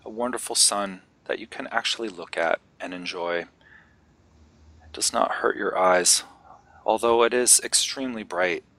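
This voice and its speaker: Male meditation guide: lowish, crisp-edged bright voice, gentle and unhurried, leaving long pauses.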